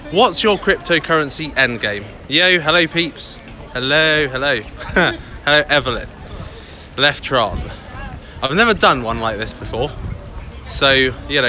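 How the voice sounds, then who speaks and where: An English man in a loud, chatty, upbeat manner, vlogging live from a busy city street into a handheld phone. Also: mid-range voice, expressive, unhurried. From England. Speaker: crypto vlogger. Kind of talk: casual live vlog. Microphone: phone held by hand, close. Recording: outdoor street noise. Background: city traffic and passers-by.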